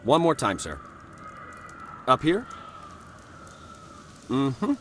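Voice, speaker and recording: A man's voice: mid-range voice, huge pitch swings, extremely slow, a bit bright, slight background noise, full character voice. An actor playing a dutiful male marine corporal technician, businesslike and deferential in manner. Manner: deferential, procedural.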